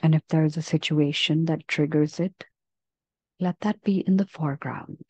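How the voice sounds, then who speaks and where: A woman leading a guided meditation in a gentle, measured manner, leaving long pauses between phrases. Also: low-pitched, fairly even intonation, no echo.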